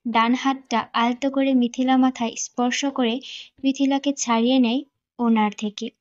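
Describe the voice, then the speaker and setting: A woman's voice: a little high, level tone, moderate pace, dull audio. A woman reading a scripted romantic story as a dramatic narrator.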